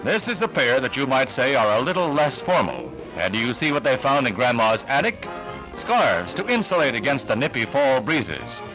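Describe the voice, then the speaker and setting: A man's voice: mid-range voice, very animated, normal speed, low-quality recording. An American man reading scripted 1960s newsreel narration in an emphatic, formal announcer delivery, on a vintage soundtrack. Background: music under the voice.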